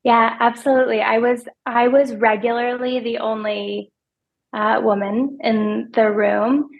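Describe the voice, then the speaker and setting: A soft-spoken woman in her thirties, a financial advisor answering interview questions in a hesitant way, often repeating words as she thinks. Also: on the high side, level tone, unhurried, gravelly, phone-quality sound. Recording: podcast remote interview.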